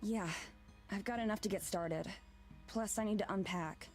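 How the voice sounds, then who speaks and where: A woman's voice: medium pitch, normal speed, soft background music, lightly acted. A woman voicing a frustrated, skeptical young traveler in a visual novel, blunt and exasperated. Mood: resigned.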